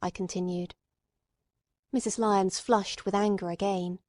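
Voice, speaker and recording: A woman's voice: medium pitch, fairly even intonation, unhurried, darkish tone, no room sound, clean studio sound. A woman reading scripted literary narration as an audiobook narrator.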